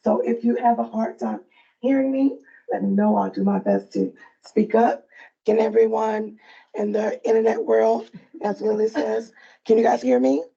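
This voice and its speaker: Soft raspy-voiced woman giving updates to a room, dark mellow tone, kinda muffled recording. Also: fairly high pitch, fairly even intonation, moderate pace. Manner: friendly, loose, with frequent fillers. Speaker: early learning coalition staff presenter. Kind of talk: presenting at a provider meeting.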